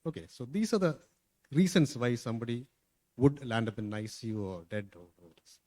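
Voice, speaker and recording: A man's voice: medium pitch, expressive, fairly quick, darkish tone. A man, a cardiologist on a conference panel, explains clinical points steadily and often checks in with "okay".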